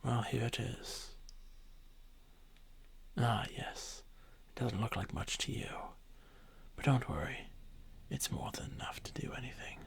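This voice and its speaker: Man playing a slow, whispering, arrogant ancient dragon. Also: mid-range voice. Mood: proud, instructive. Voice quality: breathy, creaky half-whisper. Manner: slow, haughty, deliberate. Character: arrogant ancient dragon, male, thousands of years old, domineering. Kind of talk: fantasy ASMR roleplay.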